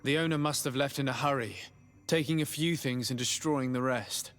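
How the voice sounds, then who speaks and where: A man playing a calm, diplomatic adventuring envoy in a video game, speaking in a level-headed, polite way. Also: mid-range voice, normal speed, lightly acted. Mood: calm, inquiring. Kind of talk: video game cutscene acting.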